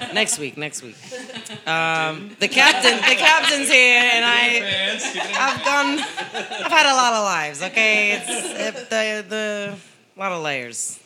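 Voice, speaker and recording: A woman's voice: medium pitch, animated, takes it slowly, a crowd in the background. A woman performer chatting in a jokey, informal way as a guest on a podcast. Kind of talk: casual podcast chat.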